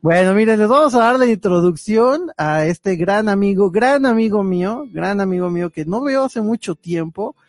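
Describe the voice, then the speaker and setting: A high-voiced man chats his way through a live stream. He teases his guests and slips in Japanese words. His voice is recorded close to the mic and sounds dry. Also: no room sound. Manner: chatty, teasing, sprinkles Japanese words. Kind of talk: casual live-stream hosting.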